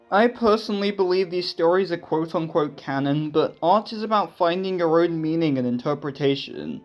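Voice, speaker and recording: A man with a somewhat high-set voice delivers casual, scripted commentary in the style of a video essay. He is sarcastic and self-deprecating and swears freely. Faint music plays underneath his voice. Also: darkish tone, highs cut off.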